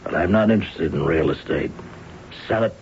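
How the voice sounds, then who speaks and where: Gravelly, very deep-voiced menacing bookie. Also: male voice, a little mellow. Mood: cold, firm. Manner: blunt, unhurried, coolly threatening. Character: cold, menacing older male bookie and loan shark. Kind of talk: 1970s radio drama acting. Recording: dull, noisy old radio recording.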